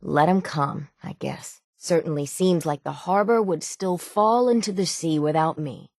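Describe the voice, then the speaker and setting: A woman playing a gruff, world-weary, sardonic island sailor in a video game, with salty, plainspoken folksy phrasing. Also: low voice, unhurried, darkish tone, no echo, clean studio sound. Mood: weary, resigned. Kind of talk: video game character dialogue.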